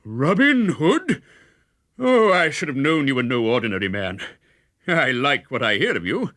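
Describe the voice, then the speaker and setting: A man's voice: mid-range voice, very animated, measured pace, fairly bright tone, no room sound, studio quality, voice pushed up. A man voicing a huge, blustering friar in a children's storybook, pompous and good-humoured, puffing between phrases. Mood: admiring, puffed. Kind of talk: children's storybook character reading.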